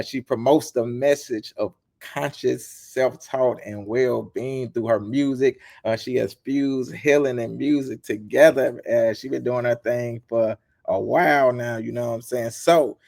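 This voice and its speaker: A man hosting an interview, his voice gravelly with fry. He talks in a relaxed, easygoing way, and the recording sounds dry and close. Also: medium pitch, dry recording. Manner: laid-back, many "uh" fillers, short affirmations. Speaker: interview host.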